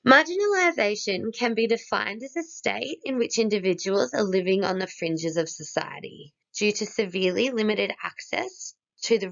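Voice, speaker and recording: Female student presenter, hoarse from a cold, heavy vocal fry, animated delivery, steady list reading. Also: mid-range voice. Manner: steady, listing one item after another. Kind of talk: read-aloud presentation. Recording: dull lo-fi recording.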